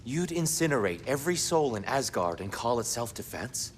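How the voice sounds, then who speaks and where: An actor playing a proud, scheming god in a video game, with measured, persuasive and formal delivery. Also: male voice, mid-range voice, sing-song, slight background noise, natural voice. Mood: urgent, persuasive. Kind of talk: video game character acting.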